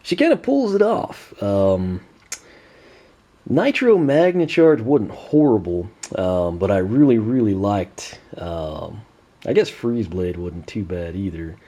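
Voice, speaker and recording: Man, hoarse and losing his voice, low mellow tone, chatty unboxing host. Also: big pitch swings, slow pace. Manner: chatty, frequent "uh" fillers, clears throat. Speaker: toy collector unboxing host. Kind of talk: casual unboxing commentary.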